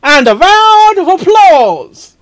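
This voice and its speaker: A man hosting a reaction video, rambling casually and excitably, stammering and repeating himself as he goes. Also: very high-pitched, takes it slowly. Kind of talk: casual off-the-cuff reaction commentary.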